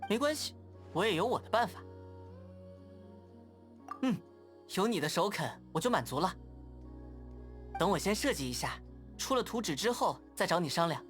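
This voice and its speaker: A playful young scout, lively. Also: male voice, high voice, moderate pace, pauses often, soft background music. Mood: friendly. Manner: friendly, playful, animated. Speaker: game character. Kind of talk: game voice lines.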